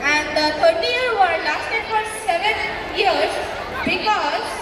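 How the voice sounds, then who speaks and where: A woman's voice: very high voice, expressive, takes it slowly, over a noisy crowd, heavily acted. A woman in an amateur stage play acting a pompous, declamatory school examiner. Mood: pompous lecturing. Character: pompous schoolteacher examiner twisting logic earnestly. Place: large hall stage. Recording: echoey noisy hall, distant mic.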